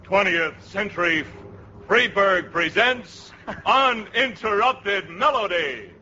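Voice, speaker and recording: An actor playing a solemn, pompous narrator in a parody of a war film, grave and grandiose, in 1950s radio style. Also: male voice, high-pitched, animated, slow pace. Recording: dull 1950s radio recording, music behind.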